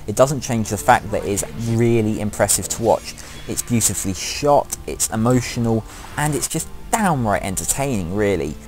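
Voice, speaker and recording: A man giving scripted opinion commentary as the presenter of a video game countdown. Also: lowish voice. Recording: music behind the voice. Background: loud music.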